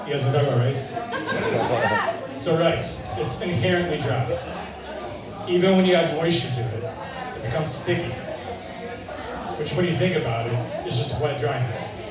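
A young man doing stand-up with a dry, deadpan delivery, his voice sitting a touch high for a man. He is heard from the back of a bar, where the sound rings around the room and an audience laughs and murmurs throughout. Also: normal speed, long pauses. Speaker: stand-up comedian. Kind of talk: stand-up set. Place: bar stage with a live audience. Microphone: handheld mic, filmed from far in the crowd. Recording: echoey bar sound from far off, crowd laughter.

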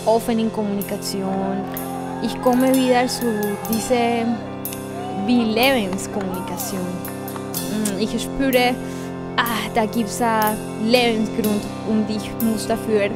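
Woman choreographer with non-native German. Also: a little high. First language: Spanish. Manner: thoughtful, searching for words in non-native German. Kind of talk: documentary interview. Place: indoor hallway by a window. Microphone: handheld foam-covered mic held close.

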